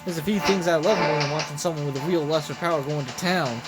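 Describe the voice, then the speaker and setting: A smug, greedy merchant from a video game, acted by a man. He talks in a folksy, wheedling way, scheming breezily in a lightish, higher male pitch over prominent music. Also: quick pace, close to an everyday voice, rough recording. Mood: breezy, scheming.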